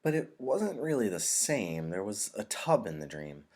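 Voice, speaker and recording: A hesitant young boy as read by a man from a storybook, speaking in a low register for his age. He is earnest and halting, embarrassed by what he confides. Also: very animated, darkish tone. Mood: hesitant recalling.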